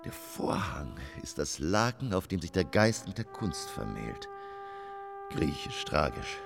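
An actor in a radio drama playing a melancholy, self-absorbed stage actor who declaims and swings between whispers and outbursts. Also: male voice, low-pitched, huge pitch swings, unhurried, vocal fry, music in the background, exaggerated voice. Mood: melancholy, pompous. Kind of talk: German radio drama monologue.

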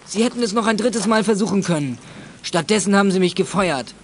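A young male actor plays an aggrieved, stubborn troublemaker in a children's radio drama. His voice sits high for a man, and he leans on his words. A little noise lies behind him. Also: brisk, lightly acted. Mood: bitter, defiant. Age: young adult. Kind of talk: 1980s German children's radio drama acting.

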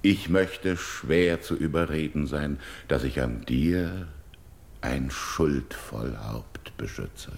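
A proud older king in a classical radio play, speaks very low with a gravelly creak. His is solemn and slow, declaimed as verse in a roomy space. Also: a little mellow, heavily acted. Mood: firm, earnest. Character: grieving, proud older king, childless widower-like ruler seeking a bride.

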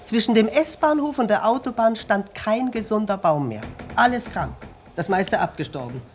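An actress in a radio play as a sociable, concerned middle-aged country innkeeper, polite and chatty. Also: female voice, medium pitch, animated, normal speed, slight background noise, close to an everyday voice. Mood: reminiscing.

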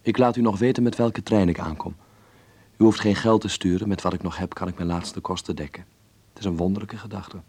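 A man acting an earnest young man who voices his letter home in a radio play, measured and reflective. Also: low-pitched, steady tone, darkish tone, husky. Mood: pensive. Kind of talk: voiced letter narration in Dutch radio drama.

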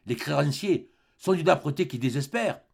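A man's voice: fairly high pitch, expressive, moderate pace, vocal fry, husky, heavily acted. A man playing a poor, exasperated young peasant husband who rants querulously in an audiobook story. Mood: exasperated grumbling. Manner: querulous, ranting in rhetorical questions.

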